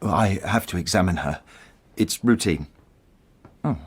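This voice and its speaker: A man playing a grave, measured family doctor in a radio drama, with a clinical manner. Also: deep voice, slightly rough. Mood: suspicious. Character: calm, grave, matter-of-fact family doctor.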